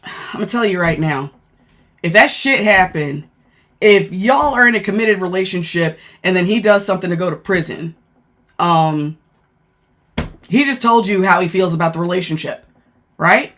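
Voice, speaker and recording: A woman with a deep voice chatting casually on a phone livestream, speaking bluntly and thanking viewers as she goes. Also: normal speed, pauses often, a bit bright. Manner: blunt, frank, thanks viewers mid-sentence. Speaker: livestreamer and former law enforcement worker. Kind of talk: casual live-stream chat reading comments.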